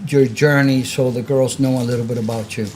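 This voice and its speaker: A man's voice: medium pitch, steady tone, fairly quick, a crowd in the background. A man hosting an interview and introducing his guest in a casual, friendly way. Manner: friendly, casual, many fillers. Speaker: host.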